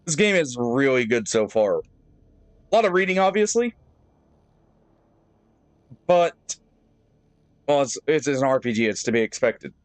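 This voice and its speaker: A man streaming a game live, thinking aloud with pauses and fillers and chatting to his viewers. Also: medium pitch, sing-song. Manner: friendly sign-off to viewers. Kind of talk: live gameplay commentary.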